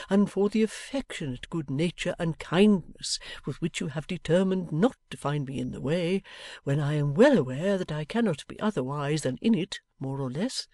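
Lady reader playing a sweet sentimental old dad, tender and speechy, wobbly lively voice, dry sound. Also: on the low side, no room sound, voice pushed up. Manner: tender and ceremonious, slow and tremulous. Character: fond, cherubic older father, sentimental and gentle.

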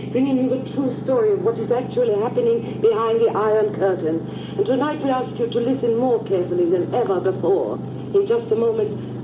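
A woman playing a solemn, earnest announcer of a clandestine freedom broadcast in a 1950s radio drama, speaking deliberately. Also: a little high, natural voice. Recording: muffled, echoing, noisy old radio transmission.

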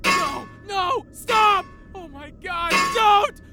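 Woman as shrill, screaming terrified boy. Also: very slow, no room sound, clean studio sound, faint music behind, exaggerated voice. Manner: shrill, desperate shouting. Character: terrified child, a son, screaming for parents. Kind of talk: audio drama acting.

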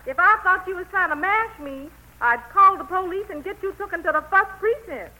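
High-voiced, sassy flirt. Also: female voice, lively intonation, brisk, pushed and bright, heavily acted. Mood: indignant. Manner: teasing, coquettish. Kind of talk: 1940s radio acting. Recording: tinny vintage 1940s radio broadcast.

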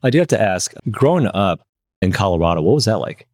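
A man from Michigan hosting a podcast, asking quick, eager questions in a casual interview. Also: fairly low pitch, sing-song, darkish tone, dry recording, clean studio sound. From United States (Michigan). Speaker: podcast host.

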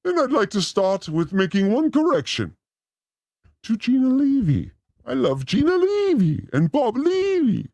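A man's voice: extremely high pitch, huge pitch swings, unhurried, vocal fry, darkish tone, no echo. A man hosting a livestream with a rambling, theatrical comic monologue, exclamatory and given to swearing. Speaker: host of a livestream show.

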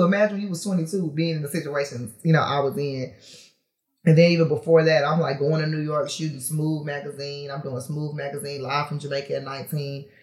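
A woman's voice: low-pitched, darkish tone, reverberant. A woman in her thirties talking candidly as a podcast guest, chatty and casual, with lots of fillers and frequent laughs. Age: 30s. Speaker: podcast guest, reality TV personality. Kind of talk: casual interview chat.

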